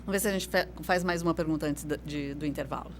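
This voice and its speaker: A woman's voice: sits low, fast, a little hiss or hum. A woman hosting a radio interview show in a studio, talking conversationally and often affirming with short "uhum" sounds.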